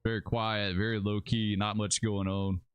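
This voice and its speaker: A low-voiced man with a relaxed drawl chats through a game live stream at his home setup. He rambles easily, calling viewers dude and bro, with faint music under his voice. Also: normal speed, smooth, dry recording, lo-fi sound. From Alabama, USA. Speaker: livestreaming gamer host. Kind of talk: casual live-stream commentary.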